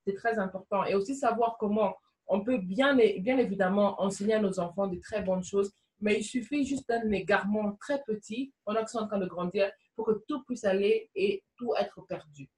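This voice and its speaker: A woman's voice: mid-range voice, normal speed, darkish tone, recorded from a distance. Earnest woman from Congo, slightly off-mic. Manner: earnest, repeats phrases for emphasis. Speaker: entrepreneur guest. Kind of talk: conversational discussion.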